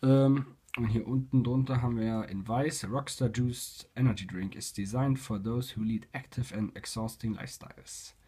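A young man with a cold, casually reviewing a product and talking in a halting way with many fillers and restarts. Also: medium pitch, steady tone, mellow, husky. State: has a cold. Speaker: YouTube reviewer. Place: indoors at a table, voice-over with hands on camera.

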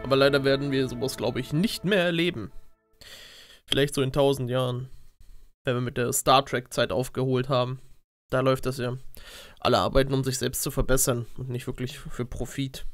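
A man's voice: mid-range voice, little pitch movement, fairly quick, dry recording, clean studio sound. A young man doing casual let's play commentary on a headset, grumbling and swearing as he goes. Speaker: let's player. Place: indoors at a computer. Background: game music.

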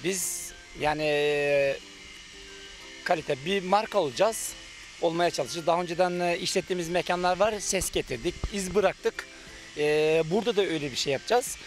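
A middle-aged man from Turkey talks into a handheld reporter mic outdoors by the sea, his voice sitting a bit high for a man. He hesitates a lot with drawn-out fillers yet sounds sure of himself, while faint music plays underneath. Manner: hesitant, many drawn-out fillers, self-assured claims. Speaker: cafe manager. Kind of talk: on-camera interview. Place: outdoors by a beach under trees.

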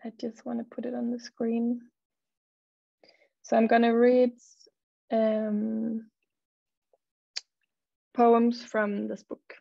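A young Danish-native woman speaks in a flat, gravelly voice, pausing often with fillers as she talks and reads her poems. The sound is dry and a little dull, like a laptop mic on a video call. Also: on the high side, no echo. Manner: hesitant, many fillers, reads poems slowly. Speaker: poet and model, panelist. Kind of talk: panel talk and poetry reading. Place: home room, video call.

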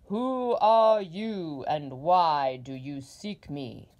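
A woman voicing a giant disembodied wizard head that speaks in slow, loud, stern demands. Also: sits low, dull audio. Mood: commanding. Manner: slow, loud, imperious proclamations.

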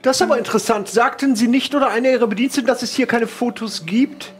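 A man playing a haughty, roguish gentleman in a tabletop game, with an affected, genteel manner. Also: very high voice, fast talker, husky. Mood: sly, polite. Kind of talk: improvised tabletop role-play acting.

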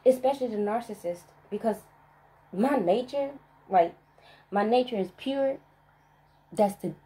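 A woman vlogger in a spiritual rant monologue, emphatic and profane, stressing single words with long pauses. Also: mid-range voice, dark tone, slightly rough, low-quality recording.

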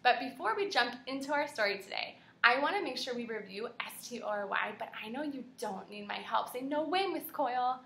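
A young woman with a very bright, fairly high voice leads a read-aloud lesson for small children. She is animated and encouraging, often prompting her listeners with questions. The room around her sounds echoey. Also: brisk, gravelly, hardly any pauses. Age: young adult. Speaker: kindergarten teacher.

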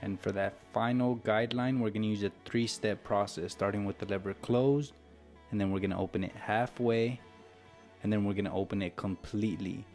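A man's voice: lowish voice, fairly even intonation, brisk, darkish tone. An American man narrating an instructional tutorial in a casual, step-by-step way. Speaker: barber giving a tutorial. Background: background music with guitar.

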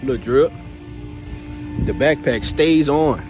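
A man's voice: medium pitch, slow, music in the background. A teenage boy vlogging on his way to class and joking through interviews, talking loose and slangy and laughing often. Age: teens. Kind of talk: casual vlog chat and joking interviews. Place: school hallways and grounds.

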